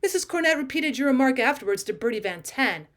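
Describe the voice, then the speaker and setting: A woman's voice: high-pitched, big pitch swings, quick pace, fairly bright tone, pitched above the performer's own voice. A woman voicing a coldly sardonic talking male cat in a storybook reading, haughty, unruffled and cutting. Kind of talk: audiobook character voice, British classic short story.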